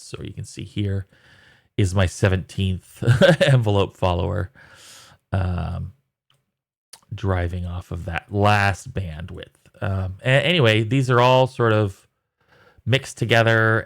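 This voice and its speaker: A man giving a casual technical tutorial as an audio developer, talking in a halting, thinking-aloud way. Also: on the low side, gravelly. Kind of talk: casual technical screen walkthrough.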